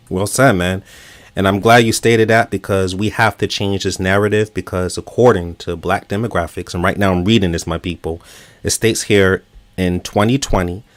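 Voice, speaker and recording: A man's voice: sits low, no room sound. A man hosting a relationship talk show, earnest and encouraging, using filler words as he talks.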